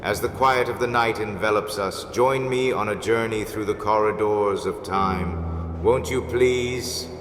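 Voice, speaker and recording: Casting: male actor as mysterious haunted-house host, low voice, formal theatrical delivery, heard in a long reverberant hall. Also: unhurried, lightly acted. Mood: mysterious, inviting. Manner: formal, ornate, dramatic. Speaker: host and storyteller. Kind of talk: theatrical gothic storytelling, scripted. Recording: echoey hall reverb with background noise.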